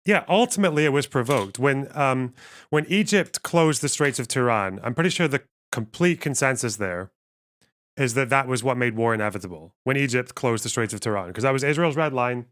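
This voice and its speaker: A man's voice: medium pitch, lively intonation, brisk, a bit bright. A man hosting a livestream, thinking aloud in a rambling, wry way while reading out chat. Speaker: livestream host.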